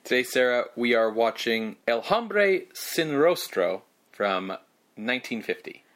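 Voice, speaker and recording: A man's voice: mid-range voice, big pitch swings, a little slow, fairly bright tone. A man co-hosting a casual podcast, talking in an easygoing way with frequent hesitant fillers. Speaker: podcast co-host.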